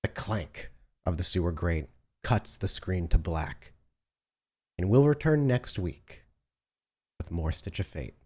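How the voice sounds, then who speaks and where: A man's voice: sits low, big pitch swings, slow pace, pauses often, darkish tone, breathy edge. A man narrating an improvised tabletop role-playing game as its game master. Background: background music.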